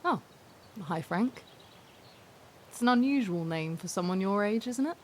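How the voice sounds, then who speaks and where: A young woman voiced by an actress with a mid-pitched voice, a passenger in an audio drama. She is candid and vulnerable, halting and self-deprecating as she confides. There is ambient noise around her. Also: lively intonation, a little mellow, natural voice. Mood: friendly, curious.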